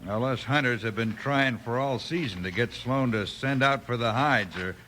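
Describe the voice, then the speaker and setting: A man's voice: mid-range voice, moderate pace, pushed and bright, close to an everyday voice. A man playing a disgruntled, rustic buffalo hunter with folksy talk in a 1950s radio western. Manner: folksy, rustic grammar, grumbling. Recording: noisy 1950s radio recording.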